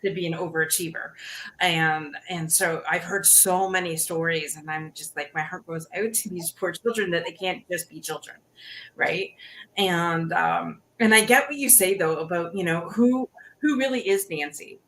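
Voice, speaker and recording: A woman's voice: fairly low pitch, normal speed, fairly bright tone, slight background noise, poor audio. A woman hosting an interview podcast, chatting casually with plenty of restarts and "you know". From Canada. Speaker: podcast host.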